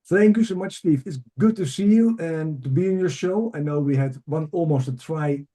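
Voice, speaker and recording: A man's voice: on the high side, normal speed, dark tone. A middle-aged man from Amsterdam with a Dutch accent, a native Dutch speaker, telling his story conversationally as a guest. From Amsterdam, Netherlands. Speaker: podcast guest, leadership coach.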